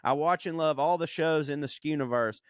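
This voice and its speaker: Podcast co-host, a man, reading listener mail with a smooth voice and a dry close sound, profane and jokey. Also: a little high, a little fast, no room sound. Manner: profane, laughing, reads aloud with asides. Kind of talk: casual chat reading listener email.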